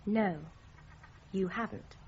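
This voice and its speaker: A woman's voice: medium pitch, slow, darkish tone, slightly rough, lo-fi sound. An actress playing a pert, teasing young woman in a radio drama. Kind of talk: 1980s British radio drama acting.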